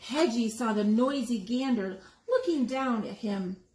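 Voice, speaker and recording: A woman reading a picture book aloud to young children, expressively, pausing to explain the pictures and ask questions. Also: a little high, animated, darkish tone. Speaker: story time reader. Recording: echoey room with background noise.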